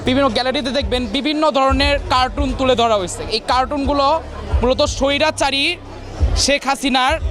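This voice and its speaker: A young man with a Bangladeshi accent giving a halting, formally worded interview answer. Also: very high-pitched. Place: crowded indoor hall. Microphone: handheld interview microphone. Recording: crowd and music behind.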